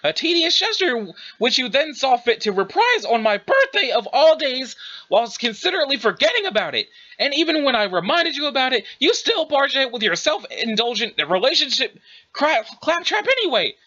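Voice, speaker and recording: A woman playing a fed-up, sharp-tongued young friend in an audio drama, speaking with biting, indignant emphasis. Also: sits high, very animated, normal speed, lo-fi sound, full character voice. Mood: bitter, accusing. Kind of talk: dramatized dialogue reading.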